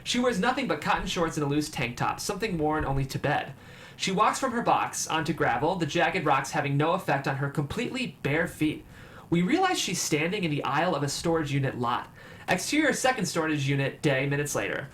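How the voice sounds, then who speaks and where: A man's voice: fairly high pitch, very animated, fairly quick, fairly bright tone. A man reading scripted stage directions as the narrator of a table read. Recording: clear background noise.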